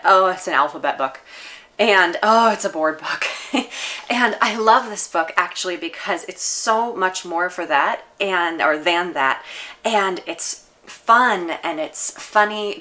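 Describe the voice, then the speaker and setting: Female religious educator with a bright, crisp-edged voice on the lower side, keenly presenting a picture book for children. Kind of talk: introducing and reading a children's book to a congregation.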